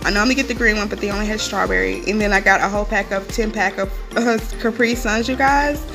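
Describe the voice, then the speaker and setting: A woman's voice: medium pitch, fairly even intonation, quick pace, vocal fry, over music. A young woman chatting casually to her viewers while showing a grocery haul in her home kitchen, upbeat and full of "um" and "you guys".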